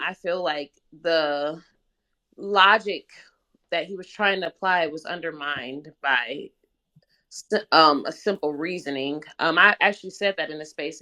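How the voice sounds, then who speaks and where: A woman talking casually as a panelist, explaining her reasoning in a measured way. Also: deep voice, pushed and bright. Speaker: discussion panelist. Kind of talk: casual discussion.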